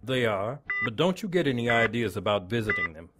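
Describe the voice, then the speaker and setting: An actor playing a stern, exasperated police lieutenant questioning people in a noir audio drama. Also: male voice, mid-range voice, very animated, brisk, very noisy, close to an everyday voice.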